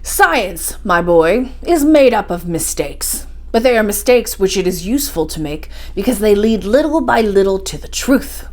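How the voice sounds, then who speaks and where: A woman's voice: mid-range voice, very animated, moderate pace, few pauses, a little mellow, lightly acted. A woman voicing a learned, confident older professor uncle in a story, speaking in an assured, didactic way. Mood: lecturing.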